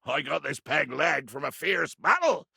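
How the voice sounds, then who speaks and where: A man playing a pompous, belligerent sea captain in a video game, with a raspy voice and a theatrical, grandiloquent delivery. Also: on the high side, sing-song, moderate pace, pushed and bright, dry recording, clean studio sound, full character voice. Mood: boastful. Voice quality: gravelly, raspy.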